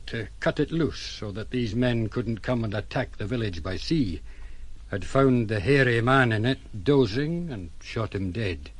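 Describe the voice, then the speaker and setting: An actor playing a blunt, sombre ship's captain recounting events in an audio drama, in a plain-spoken way. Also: male voice, medium pitch, lightly acted. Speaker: sea captain.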